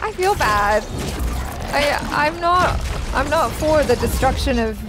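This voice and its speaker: Expressive high-pitched young woman reacting. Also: a little slow, over music. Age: young adult. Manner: expressive, exclamatory. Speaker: gameplay reaction host. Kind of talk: casual reaction chat over game footage. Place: small indoor studio. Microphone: headset. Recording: loud game soundtrack behind the voice.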